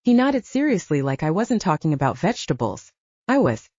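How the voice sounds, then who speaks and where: Expressive woman narrating fiction, mellow, slightly dull sound. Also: on the low side, fairly quick, breathy edge, no echo. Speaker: audiobook narrator. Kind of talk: scripted first-person fiction narration.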